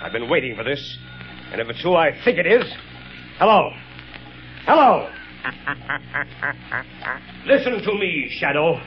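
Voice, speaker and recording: An actor playing a gruff, impatient police commissioner in 1930s radio drama style. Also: male voice, on the high side, very animated, slow pace, pauses often, faint music behind. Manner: brusque, impatient. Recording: dull 1930s radio broadcast.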